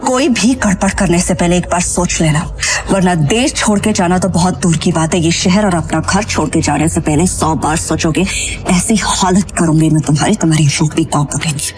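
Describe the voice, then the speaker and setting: A woman's voice: on the low side, moderate pace, over music, natural voice. Woman playing a haughty, scornful rich wife. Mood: angry scorn. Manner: cutting, contemptuous, some English mixed in. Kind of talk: Hindi audio drama acting.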